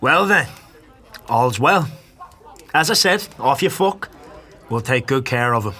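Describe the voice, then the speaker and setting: An actor playing a mocking, foul-mouthed tavern gang boss in a dark fantasy audio drama, curt and sarcastic. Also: male voice, mid-range voice, lively intonation, unhurried. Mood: smug, threatening.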